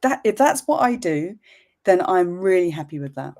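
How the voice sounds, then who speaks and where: A frank, upbeat woman in her fifties, talking as a business mentor in a live video chat. Her voice sits low and sounds mellow and warm. She speaks bluntly and doesn't mind a casual swear. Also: sing-song, moderate pace. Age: 50s.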